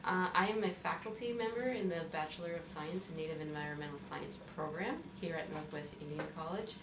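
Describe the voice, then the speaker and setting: Low-voiced faculty woman in an echoey room. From Wisconsin, US. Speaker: college faculty member. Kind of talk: interview about her research. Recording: echoey room, mic far off, noisy.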